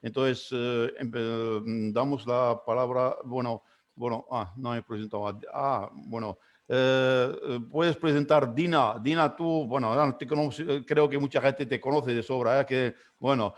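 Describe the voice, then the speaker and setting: A man's voice: mid-range voice, fairly even intonation, unhurried. A man moderating an online panel debate, introducing the speakers with many hesitations and restarts.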